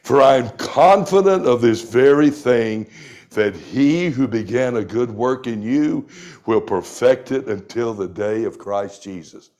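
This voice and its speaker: A man in his sixties preaching a sermon, reading scripture aloud with deliberate emphasis. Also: medium pitch, animated, a little mellow. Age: 60s. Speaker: pastor.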